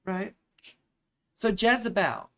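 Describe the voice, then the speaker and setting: Live-stream astrologer host, chatty and direct, echoes her own lines, mid-pitched voice. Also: very animated, takes it slowly, vocal fry, fairly bright tone. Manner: chatty, repeats her own phrases, addresses viewers directly. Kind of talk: casual live-stream chart reading.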